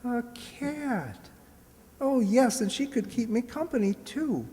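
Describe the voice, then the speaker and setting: A woman's voice: fairly high pitch, lively intonation, slow, dark tone. A woman playing a polite, earnest poor boy in an amateur radio play, hesitant and deferential. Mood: wistful, eager. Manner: deferential, hesitant, eager to please. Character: polite, earnest, humble poor boy seeking work in London. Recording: echoey hall, long reverb.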